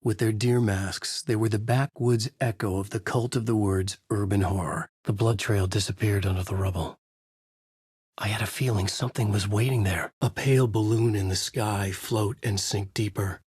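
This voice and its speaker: An actor playing a troubled novelist in a horror video game, narrating his dark story in a husky, grave, deliberate noir style. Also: fairly low pitch, steady tone, no room sound, studio quality. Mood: grave, brooding.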